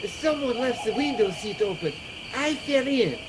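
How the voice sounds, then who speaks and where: A woman's voice: a little high, lively intonation, a little slow, very noisy. A woman playing a timid, hesitant doctor, a criminal's hapless sidekick, in a radio play. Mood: nervous. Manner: hesitant, placating.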